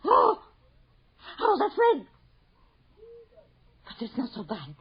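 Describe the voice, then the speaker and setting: A woman's voice: high voice, huge pitch swings, takes it slowly, heavily acted. An actress playing an anxious, motherly middle-aged working-class wife in a 1980 radio drama. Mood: distraught. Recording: muffled old radio broadcast.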